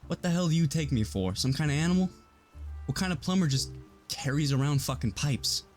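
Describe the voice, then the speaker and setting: An actor playing a surly, hot-headed blue-collar plumber in an audio drama, defensive and swearing, with music behind him. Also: male voice, a little high, expressive, a little fast, a little mellow, close to an everyday voice. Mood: belligerent, indignant.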